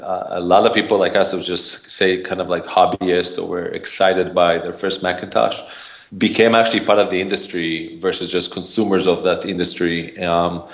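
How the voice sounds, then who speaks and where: A man from Israel with an Israeli accent, a podcast guest telling his story in a rambling way, full of fillers and restarts. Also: low-pitched. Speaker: podcast guest, startup founder. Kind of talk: casual interview talk.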